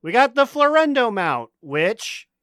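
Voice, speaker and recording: A man's voice: very high voice, sing-song, measured pace, fairly bright tone, clear, resonant tone, no echo, studio quality. A man hosting a podcast in casual chat, with a jokey, sweary manner. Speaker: podcast host.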